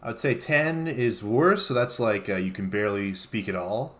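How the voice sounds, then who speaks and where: A man's voice: fairly low pitch, normal speed, a bit bright. A man co-hosting a comedic home show, answering dryly and evasively with lots of hesitations. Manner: dry, evasive, hesitant with many fillers. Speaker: co-host. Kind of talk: casual comedic banter.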